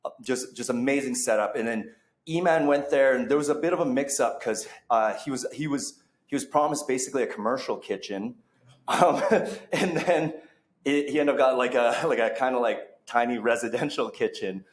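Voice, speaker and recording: A man's voice: mid-range voice, moderate pace. A man hosting a podcast in casual chat, rambling with many fillers and restarts. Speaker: podcast host. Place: bar under construction. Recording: echoey room.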